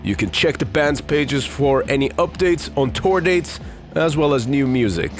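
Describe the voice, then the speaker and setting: A man's voice: medium pitch, expressive, a little slow, soft background music. A man in his thirties from Iran, hosting his own podcast in a candid, rambling way with many fillers. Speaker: podcast host. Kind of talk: casual solo podcast talk.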